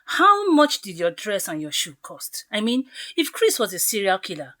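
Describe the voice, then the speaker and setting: A woman's voice: mid-range voice, huge pitch swings, moderate pace, sharp tone. A woman playing a bossy, indignant young bridesmaid who scolds her friend emphatically in a radio drama. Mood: indignant scolding.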